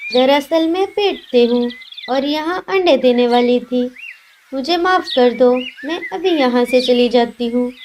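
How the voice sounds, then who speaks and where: A woman voicing a gentle, polite, trusting mother sparrow in a children's cartoon. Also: high-pitched, measured pace, slight background noise. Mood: apologetic. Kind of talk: Hindi children's cartoon voice acting.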